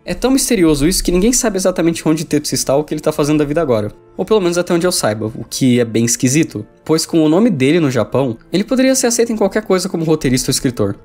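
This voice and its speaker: A man's voice: fairly high pitch, big pitch swings, racing, hardly any pauses, a little mellow. A man with a Brazilian accent reading a scripted review as a video essay narrator. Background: background music.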